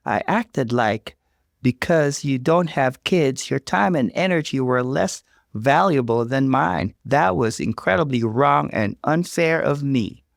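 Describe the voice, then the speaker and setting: A man voicing an overwhelmed mother, a stiff and contrite sister, in an earnest, measured way, for a story. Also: medium pitch, expressive, creaky, no echo, clean studio sound, pitched above the performer's own voice. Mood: earnest, apologetic.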